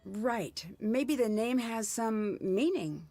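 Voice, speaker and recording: Composed girl detective, video game, probing questions, higher pitch, unhurried, dry close sound. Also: lively intonation, warm tone, no echo, full character voice. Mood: curious. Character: inquisitive, composed young female amateur detective.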